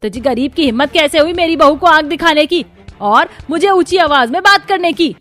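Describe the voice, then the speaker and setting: A woman's voice: sits high, animated, normal speed, fairly bright tone. Woman as a spiteful, scolding older mother-in-law. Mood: furious.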